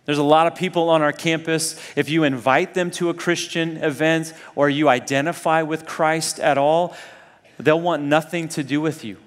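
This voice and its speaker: Higher-voiced man preaching in a reverberant hall. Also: steady tone, moderate pace. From Zambia and Kenya. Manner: conversational, earnest, rhetorical questions. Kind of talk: sermon to a student audience.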